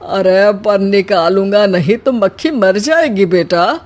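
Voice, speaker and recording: Woman voicing a cheeky, slow-talking father-in-law. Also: medium pitch, darkish tone. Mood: playfully reasoning. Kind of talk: acted Hindi comic story dialogue.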